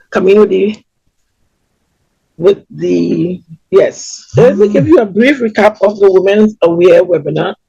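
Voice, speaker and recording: A woman with a Trinidadian accent hosting a community webinar over a video call, welcoming people in a lively announcer tone. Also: medium pitch, vocal fry, husky, no echo. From Trinidad and Tobago. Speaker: webinar host. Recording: dull, lo-fi webinar audio.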